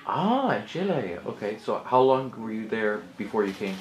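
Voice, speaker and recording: A man's voice: on the low side, sing-song, normal speed. A man interviewing a guest, encouraging him with frequent murmurs and follow-up questions. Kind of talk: casual interview. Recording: echoey room, faint hiss.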